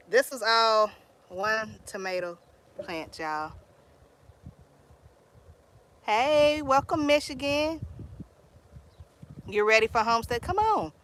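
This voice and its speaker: A woman's voice: medium pitch, a bit bright. A young woman with a Texan accent, chatting casually on a live stream from her garden, greeting viewers and telling stories in Southern slang. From Texas, US. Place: outdoor garden.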